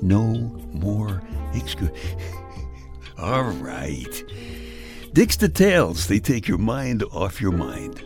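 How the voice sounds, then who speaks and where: A man's voice: fairly low pitch, drawn-out words, pauses often, over music. A man with a Brooklyn accent giving a wry, scripted comic monologue, chuckling at his own jokes. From Brooklyn, New York. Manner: wry deadpan storytelling with chuckles. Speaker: radio humor host.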